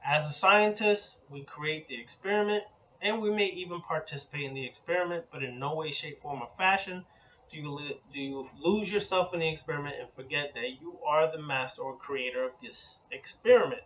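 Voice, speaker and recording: A man's voice: a little high, a bit bright, muffled recording. Man lecturing from a distant mic. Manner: measured, deliberate, builds in spoken lists. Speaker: occult teacher. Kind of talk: spoken lecture.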